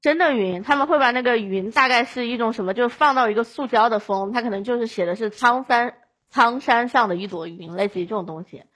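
A woman from Chongqing, China, chatting casually as a podcast guest in an animated storytelling way. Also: fairly high pitch, brisk.

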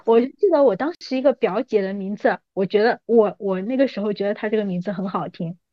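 A woman's voice: medium pitch, fast, dry recording, muffled recording. A woman in her thirties talking casually as a podcast guest, with a teasing, playfully coaxing manner. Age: 30s. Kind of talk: casual chat between old friends.

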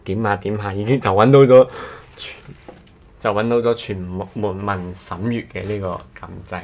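A man's voice: on the low side, steady tone, normal speed, darkish tone. A man with a Cantonese accent co-hosting a podcast, telling stories casually and often restarting his phrases. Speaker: podcast co-host. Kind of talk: casual anecdotal chat.

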